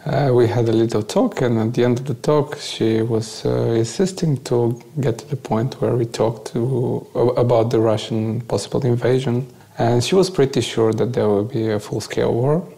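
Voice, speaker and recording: A man from Bulgaria with a Bulgarian accent, giving a conversational, halting first-person account as an interview guest. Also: medium pitch, vocal fry, warm tone, low-quality recording.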